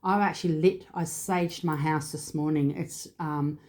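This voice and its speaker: A woman with a low voice reads oracle cards in a loose, chatty way, drifting from one thought to the next. Her sinuses are blocked and her voice sounds stuffy and thick. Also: fairly even intonation, unhurried, darkish tone. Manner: chatty, rambling, with frequent fillers. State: congested with sinus trouble. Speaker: tarot and oracle card reader. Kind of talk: casual spiritual card reading.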